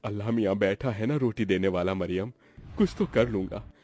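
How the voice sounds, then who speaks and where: A man's voice: on the low side, moderate pace, dark tone, natural voice. A man voicing a poor, devoted uncle in a cartoon story, earnest and pious while hiding his sorrows. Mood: earnest, burdened. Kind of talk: Hindi cartoon story dialogue.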